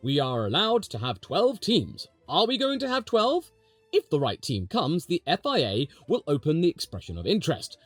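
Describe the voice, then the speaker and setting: Pompous, confrontational male official, acted by a man, deliberate and defiant, widely varied pitch, dry sound. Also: huge pitch swings, dry recording, soft background music. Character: self-important, confrontational male sports official.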